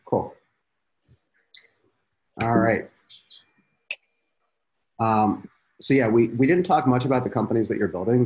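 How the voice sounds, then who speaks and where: A man's voice: fairly low pitch, darkish tone, slightly rough, lo-fi sound. A man in his thirties hosting a founders' video call, talking casually with many fillers. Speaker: program host. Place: indoor room. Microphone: laptop video call.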